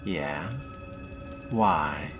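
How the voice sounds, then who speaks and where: A man's voice: very deep voice, extremely slow, music in the background, heavily acted. A man playing a cool, curious newcomer vampire in a video game role-play, talking in a laconic, casual way.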